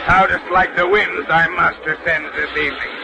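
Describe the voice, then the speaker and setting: A man's voice: fairly high pitch, fairly even intonation, moderate pace, vocal fry. A man playing an arrogant, blasphemous sea captain in an old radio drama, commanding and theatrical in archaic diction. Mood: mocking, scornful. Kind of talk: 1930s radio acting. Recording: noisy old radio recording.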